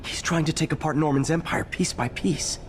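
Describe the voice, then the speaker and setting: A man's voice: a little high, fairly even intonation, brisk, slight background noise, lightly acted. A man playing an earnest young superhero in a video game, thinking aloud in a conversational way. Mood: puzzled, focused. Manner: conversational, musing to himself. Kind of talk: naturalistic video game acting.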